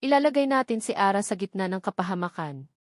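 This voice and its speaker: A woman's voice: medium pitch, lively intonation, fast, no room sound, natural voice. Casting: female narrator as young wealthy male lead, protective, emphatic, romance audio story, dry sound. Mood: urgent, protective. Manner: emphatic, insistent.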